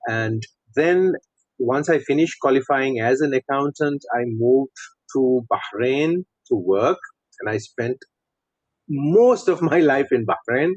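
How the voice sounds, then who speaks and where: A man's voice: medium pitch, animated, slow, a little mellow, no echo. A middle-aged man with a Sri Lankan accent, talking conversationally about himself as an interview guest. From Sri Lanka and Saudi Arabia. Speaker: podcast guest, coach. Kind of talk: conversational personal account in an interview. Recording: dull, lo-fi, dry audio.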